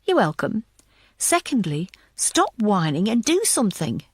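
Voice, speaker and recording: A woman's voice: mid-range voice, big pitch swings, measured pace, husky, dry recording. A woman narrator voicing a blunt, bossy but caring female pathologist in an audiobook, emphatic and exasperated.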